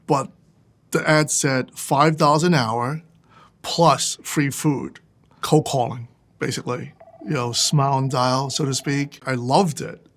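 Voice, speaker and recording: A man's voice: mid-range voice, steady tone, measured pace. Plainspoken New man in his fifties. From New York City. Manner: candid, plainspoken, emphatic. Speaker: fund manager guest. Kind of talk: conversational interview monologue.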